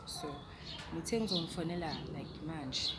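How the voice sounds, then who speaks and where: A young South African woman, a native Zulu speaker, talks in a casual vlogging style. Her voice sits a little low for a woman. Heavy ambient noise and chirping birds surround her. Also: a little slow. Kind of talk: casual chat to viewers, mixing languages.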